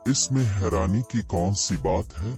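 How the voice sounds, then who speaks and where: A man's voice: deep voice, expressive, measured pace, a little mellow. A man playing a swaggering, scornful boaster in a children's audio story. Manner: bragging, scornful. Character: swaggering, boastful male hunter of tall tales. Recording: voice over clear background music.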